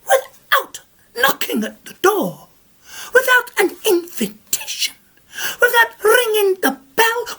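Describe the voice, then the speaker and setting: A woman voicing a bold little old lady who scolds and questions in a high, indignant voice, told live to an audience. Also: pushed and bright, exaggerated voice. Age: older. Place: live storytelling session with an audience.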